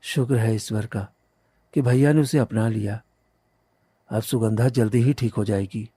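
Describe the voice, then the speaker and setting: A man playing a devoted, trusting young village husband in a cartoon story, earnest and gentle. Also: fairly low pitch, steady tone, moderate pace, husky, no echo. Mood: relieved. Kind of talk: cartoon moral story acting.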